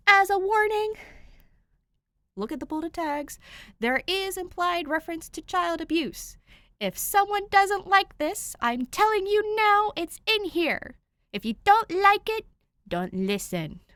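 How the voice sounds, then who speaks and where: A woman's voice: very high-pitched, sharp tone, no room sound. A woman hosting a channel, talking casually and informally to listeners with emphatic stresses. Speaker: podfic reader and channel host.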